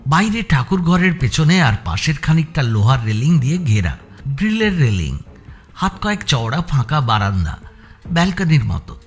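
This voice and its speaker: A man narrating a scripted suspense audio story, with deliberate, descriptive phrasing. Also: on the high side, big pitch swings.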